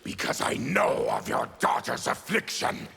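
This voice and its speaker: An actor playing a fierce, proud, embittered mutant warrior in a fighting game, growling and deliberate. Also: male voice, bright, forceful tone. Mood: bitter, proud. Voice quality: gravelly, growling. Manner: menacing, deliberate. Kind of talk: fighting game character dialogue.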